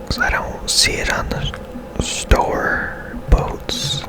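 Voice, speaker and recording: Guy whispering asmr gaming commentary, real slow, casual musing, all hushed. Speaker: game streamer, ASMR host.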